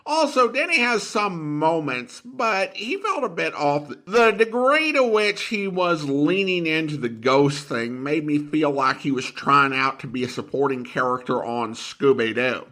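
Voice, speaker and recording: A man's voice: fairly high pitch, animated, measured pace, hardly any pauses, sharp tone. A man hosting a podcast, giving conversational commentary on an episode. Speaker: podcast host.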